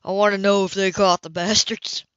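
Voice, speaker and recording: Woman doing a low, gruff, protective man. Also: little pitch movement, normal speed, a bit bright, no room sound, lightly acted. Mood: gruff, sorry. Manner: low, murmuring. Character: gruff, protective man, angry yet tender. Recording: slightly dull home recording.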